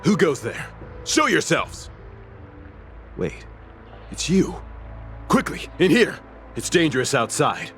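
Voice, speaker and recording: An actor playing a dutiful, anxious fantasy soldier in a video game, speaking earnestly and formally. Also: male voice, fairly high pitch, very animated, takes it slowly, pauses often, a bit bright, faint music behind, heavily acted. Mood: alert, urgent. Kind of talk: English video game dub acting.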